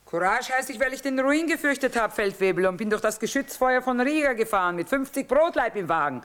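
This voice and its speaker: Casting: a brash, defiant market woman of the war camps, shrewd, with a high voice, radio play. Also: animated, brisk, fairly bright tone. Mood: defiant, matter-of-fact. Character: bold, shrewd female war trader, mother of grown children. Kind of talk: theatrical radio drama acting with song.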